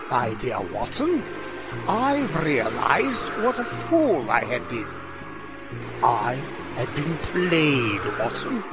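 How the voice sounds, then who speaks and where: An actor playing a theatrical, self-dramatising gentleman detective in an audio comedy drama, with a declamatory manner. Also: high-pitched, full character voice.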